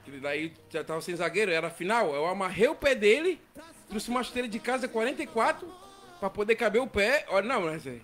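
A man with a southern Brazilian accent and a notably high voice chats casually on a podcast. He tells stories in an animated, slangy way, close to the mic, with faint music in the background. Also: fairly quick. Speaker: football coach. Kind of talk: casual podcast chat and storytelling. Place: small indoor podcast studio with several people at a table. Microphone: close studio mic on boom arm.

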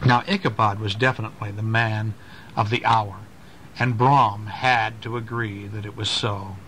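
A man's voice: fairly low pitch, steady tone, moderate pace, fairly bright tone. A man reading a story aloud to a small child, expressively, breaking off to explain things and ask questions. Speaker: parent reading a bedtime story. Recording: noisy amateur home recording.

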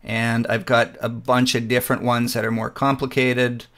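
A man narrating a screen-recorded photo software tutorial, walking through each step in a relaxed way with frequent ums and uhs. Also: medium pitch, moderate pace.

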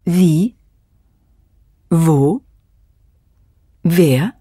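A woman reading example words and questions with careful, deliberate pronunciation for learners. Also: low-pitched, mellow, husky, no room sound, studio quality. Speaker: course speaker reading example sentences. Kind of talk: slow scripted model reading.

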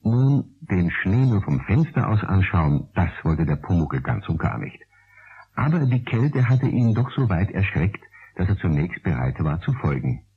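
An actor narrating a children's radio play as a calm, expressive male storyteller. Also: low-pitched, unhurried, husky, full character voice. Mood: storytelling. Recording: muffled, phone-like old radio recording.

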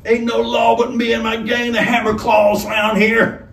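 Brief: male reader as a swaggering gang robber, raised pitch, forceful, distant mic. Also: a little slow, roomy sound. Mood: threatening. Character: menacing rough male robber gang boss.

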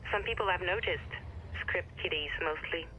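A woman's voice: fairly low pitch, normal speed, sharp tone, slight background noise. An actress playing a cool, guarded young female hacker in a video game, clipped and matter-of-fact, over a phone call. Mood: cool, assured. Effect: phone call filter. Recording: phone call over faint outdoor ambience.

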